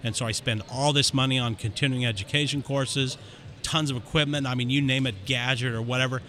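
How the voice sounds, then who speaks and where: A man guest on a podcast, candidly telling his story in an animated way, with quick rushes of speech. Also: medium pitch. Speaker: dentist guest. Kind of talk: casual interview storytelling.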